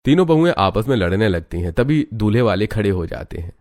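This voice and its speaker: A man with a low voice serves as narrator of a moral family tale. His delivery is scripted, dramatic storytelling. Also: sing-song, brisk, darkish tone.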